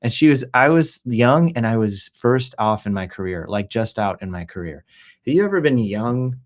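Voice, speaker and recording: A middle-aged man hosting a livestream, talking casually and jokily in an animated, rambling, off-the-cuff way with rhetorical questions. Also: mid-range voice, moderate pace. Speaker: host of a livestream news show. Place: office.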